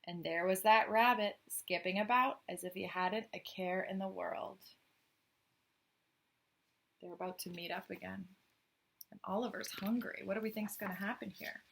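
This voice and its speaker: A woman's voice: medium pitch, steady tone, normal speed, vocal fry, pauses often, a bit bright. A woman reading a children's picture book aloud as a storyteller, expressively, with chatty, questioning asides to young listeners.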